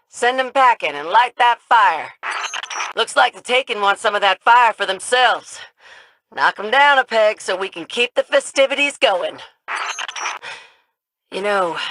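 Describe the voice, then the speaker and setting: A folksy drawling game guide. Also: male voice, sits high, pushed and bright, full character voice, poor audio. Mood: reflective. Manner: laid-back, folksy, drops g's. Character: folksy drawling man hosting a fireside event. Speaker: game character guiding the player.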